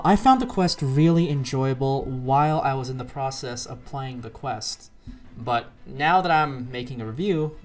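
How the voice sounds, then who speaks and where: Video game reviewer, conversational, opinionated, improvised commentary, medium pitch, music underneath. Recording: voice over background music.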